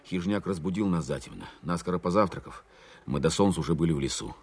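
A man's voice: deep voice, quick pace. An actor playing a wartime officer who narrates his own story in an understated, confiding way, in a radio drama. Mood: narrating. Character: reflective male wartime counterintelligence captain narrating his story. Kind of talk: first-person dramatised narration.

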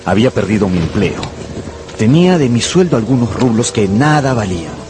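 An actor playing an anxious gambler who narrates his own ruin in a radio novel, brooding and intense, with dramatic pauses. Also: fairly low pitch, very animated, music in the background. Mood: dejected, urgent.

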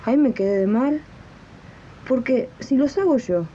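A woman's voice: sits high, lively intonation, measured pace, dark tone, dull audio, slight background noise. A woman acting an indignant, determined housemaid in a radio drama, with an Argentine accent and a colloquial, questioning way of talking, on a late-1980s recording. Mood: indignant, resolved. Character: indignant, determined housemaid who makes jam on the side. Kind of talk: radio drama dialogue and narration.

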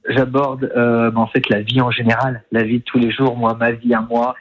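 Young male comedian guest, casual and hesitant, husky voice, muffled lo-fi line. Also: medium pitch, flat delivery, moderate pace. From France. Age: young adult. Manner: casual, many fillers and hesitations. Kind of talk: radio interview.

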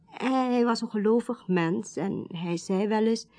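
Hesitant, mellow-voiced widow played by an actress. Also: female voice, mid-range voice, close to an everyday voice. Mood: insistent, troubled. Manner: hesitant, halting, polite. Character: estranged widow, reserved but insistent, seeking answers. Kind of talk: naturalistic Dutch radio drama acting.

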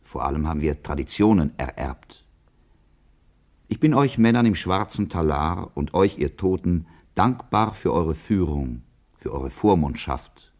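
Solemn schoolboy, very low voice. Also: male voice, a little mellow, heavily acted. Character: brooding, earnest schoolboy leaving school, wild-hearted, resolute. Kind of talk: literary radio drama monologue.

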